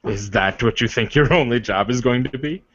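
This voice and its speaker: A man playing a stern werewolf warrior in a tabletop game, speaking in a formal, commanding and measured manner. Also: mid-range voice, fairly bright tone, close to an everyday voice. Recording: muffled, echoey room recording.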